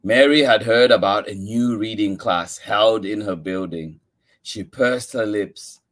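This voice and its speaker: A man reading a children's picture book aloud as a story-time host, with expressive, deliberate emphasis on key words. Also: fairly low pitch.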